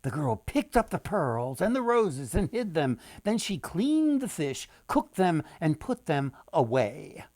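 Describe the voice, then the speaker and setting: A man reading a folktale aloud as its author, in an expressive storytelling style with deliberate, dramatic pauses. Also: a little high, no echo.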